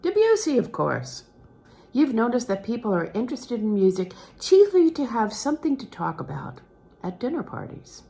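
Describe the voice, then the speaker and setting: A woman's voice: on the high side, wildly swooping pitch, moderate pace, creaky, mellow, pitched above the performer's own voice, full character voice. A woman voicing a courteous, assured male concert singer and teacher in a novel reading, with a genteel, instructing manner. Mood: indulgent, curious. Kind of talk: storybook character reading from a literary novel.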